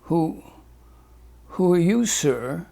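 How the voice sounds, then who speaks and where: A man's voice: on the high side, expressive, extremely slow, vocal fry, dark tone, heavily acted. A man voicing a shy, wondering little boy who speaks hesitantly.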